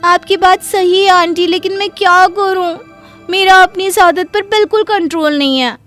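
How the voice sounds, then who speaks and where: A woman's voice: extremely high pitch, fairly even intonation, moderate pace, fairly bright tone, soft background music. A woman voicing a timid, apologetic young daughter-in-law in a cartoon moral story, in a pleading, whiny way. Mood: polite, sheepish.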